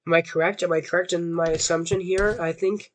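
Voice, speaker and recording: A young man with a hoarse voice from a sore throat, rambling through casual gaming commentary with a self-doubting tone. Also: fairly high pitch, steady tone, fairly quick. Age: young adult. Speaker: gaming YouTuber.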